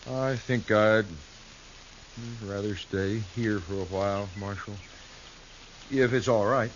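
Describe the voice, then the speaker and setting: An American man playing a weary, simple frontier rancher, halting and subdued, in a 1950s radio western. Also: lowish voice, fairly even intonation. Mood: subdued, grateful. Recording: dull, hissy 1950s radio recording.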